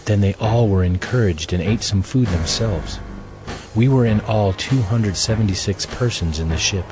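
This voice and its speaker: A man narrating a scripture audio drama in a measured, solemn storytelling manner, reading from a script. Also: on the low side, background noise. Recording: music bed under the voice.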